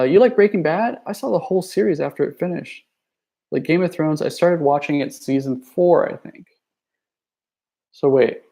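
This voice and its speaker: Young male streamer, gravelly voice, loose rambling delivery full of fillers. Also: on the high side, dark tone. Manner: rambling, many fillers and restarts; reads viewer chat messages aloud. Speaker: youtuber. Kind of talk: casual livestream chat.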